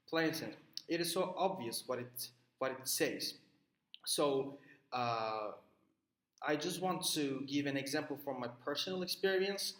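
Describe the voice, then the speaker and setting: A man's voice: medium pitch, a bit bright. Hesitant Turkish man in an echoey room. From Turkey. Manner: many "uh" fillers and restarts, explaining with personal examples. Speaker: student presenting a reading assignment. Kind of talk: hesitant spoken summary for a class video.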